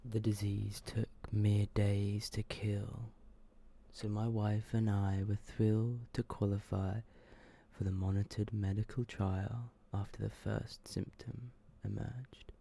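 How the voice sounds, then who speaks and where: Hushed, gravelly man reading ASMR horror. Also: on the low side, little pitch movement. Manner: hushed, slow and deliberate, often dropping into whisper. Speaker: ASMR video creator and host. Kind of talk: ASMR, soft-spoken reading of short horror stories.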